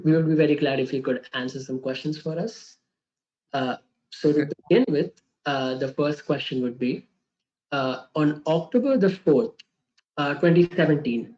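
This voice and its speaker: A man with a somewhat high voice hosts a question session, reading prepared questions in a polite, formal way. The audio is slightly dull and lo-fi. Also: a little mellow. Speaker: question-and-answer host.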